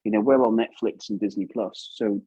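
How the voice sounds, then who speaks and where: A man's voice: on the low side, steady tone, normal speed, dark tone, slightly rough, no echo, muffled recording. A man with an English accent chatting casually as an interview guest, informal and often tagging questions onto his remarks. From England. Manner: informal, chatty, seeks agreement with tag questions. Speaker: guest puppeteer.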